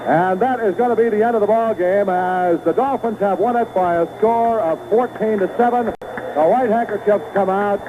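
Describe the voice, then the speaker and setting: A man's voice: high voice, moderate pace. A man doing live radio play-by-play of a football game in short, clipped phrases. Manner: clipped phrases, calling action as it happens. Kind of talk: live sports commentary. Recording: noisy phone-like vintage radio broadcast.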